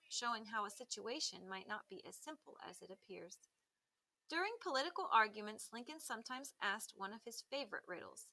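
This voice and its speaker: A woman reading a children's article aloud like a teacher, with careful, expressive emphasis. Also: on the high side.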